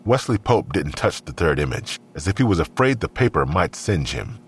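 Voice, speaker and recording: A man narrating an audio drama in a measured, scripted storytelling style. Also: sits low, big pitch swings, slight background noise.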